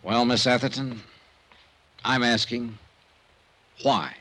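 An actor playing a wry, world-weary insurance investigator in a 1950s radio detective drama. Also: male voice, mid-range voice, slow pace, sharp tone, slight background noise. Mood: probing.

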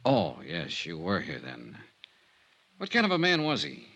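An actor playing a tough, wry investigator, clipped and matter-of-fact, in a 1950s radio detective drama. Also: male voice, low voice, huge pitch swings, measured pace, vocal fry, a bit bright, exaggerated voice. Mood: probing. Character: tough, wry male insurance investigator narrating his case.

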